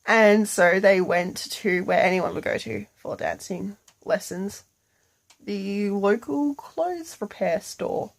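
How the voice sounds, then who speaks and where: A woman's voice: mid-range voice, slow, vocal fry. A woman telling an improvised bedtime story in a casual, playful, slangy way.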